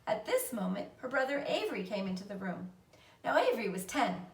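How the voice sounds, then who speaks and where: A woman's voice: mid-range voice, very animated, fairly quick. A woman narrating a children's story aloud like a teacher reading to a class. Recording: roomy echo, distant mic.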